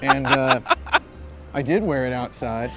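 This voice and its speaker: A man in his thirties from Indiana telling a self-mocking anecdote in a rambling, animated way, as a video game reviewer. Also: fairly high pitch, a little slow, creaky. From Indiana, USA. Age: 30s. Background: music.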